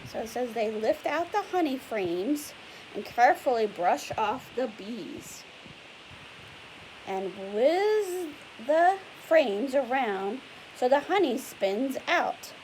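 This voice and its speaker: A woman with a fairly high voice reads a children's picture book aloud like a teacher. She explains patiently in simple words and asks the listener questions. There is some hiss behind her. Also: big pitch swings, takes it slowly, a little mellow, rough recording. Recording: clear background hiss and noise.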